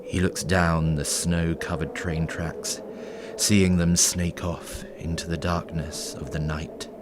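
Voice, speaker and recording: A man narrating a scripted horror story in a measured, descriptive way over loud storm ambience. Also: deep voice, flat delivery. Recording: heavy noise behind the voice.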